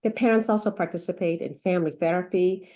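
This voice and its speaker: A woman's voice: lowish voice, quick pace, dark tone. A middle-aged woman doctor who grew up in Iran and Africa, English not her first language, talking earnestly with many fillers and restarts in an interview. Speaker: pediatric doctor. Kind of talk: interview conversation.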